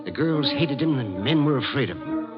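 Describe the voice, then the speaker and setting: An actor playing a resentful, outspoken office employee in 1950s radio drama, emphatic and sour. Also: male voice, medium pitch, expressive, moderate pace, close to an everyday voice. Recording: dull vintage radio, loud music behind. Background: loud music.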